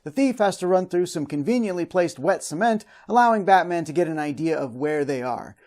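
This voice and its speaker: An expressive male host with a very lively, varied pitch. He narrates a cartoon recap from a script and slips into nitpicking, mock-exasperated comedy banter. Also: fairly quick.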